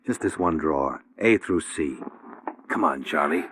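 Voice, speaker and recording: A man's voice: rumbling low, expressive, a little slow, noisy background. A man playing a wry, persistent insurance investigator with an American accent in a 1950s radio detective drama, speaking in a clipped, hard-boiled way. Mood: coaxing, persistent.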